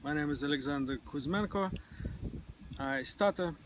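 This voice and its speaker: A man with a stutter, talking slowly and haltingly with many fillers in a casual podcast discussion. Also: on the high side. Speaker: podcast guest. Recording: clear background noise.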